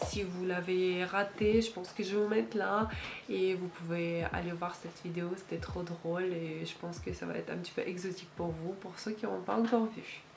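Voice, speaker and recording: A woman's voice: lowish voice, fairly even intonation, moderate pace, vocal fry, a little mellow, slight background noise. A woman in her late twenties from Ukraine, speaking French with a Ukrainian accent, chatting casually to camera with many fillers and small slips. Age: late 20s. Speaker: YouTuber. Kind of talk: casual Q&A vlog.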